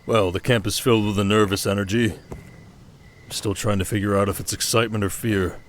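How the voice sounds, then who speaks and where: A man playing a steady, protective desert king in an ASMR audio drama, speaking in a measured, intimate way. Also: lowish voice, a little hiss or hum, close to an everyday voice. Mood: concerned, tender.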